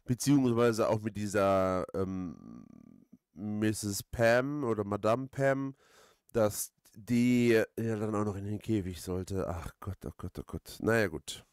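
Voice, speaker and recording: Low-voiced man rambling casually, dry close sound. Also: expressive, slow, a little mellow, clean tone, dry recording. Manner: rambling, hesitant, thinking aloud. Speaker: let's player, host. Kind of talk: casual let's play commentary.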